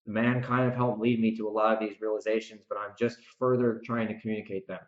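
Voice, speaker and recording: A man's voice: lowish voice, level tone, fast. Emphatic male podcaster in a roomy space. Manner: emphatic, preachy, repeats phrases for stress. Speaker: podcast host and author. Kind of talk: rambling solo podcast commentary. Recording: roomy echo, slightly dull lo-fi sound.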